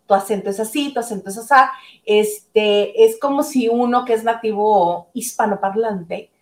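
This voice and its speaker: A woman's voice: fairly high pitch, darkish tone, roomy sound. A woman guest chatting casually about celebrity gossip, rambling with fillers. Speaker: guest commentator.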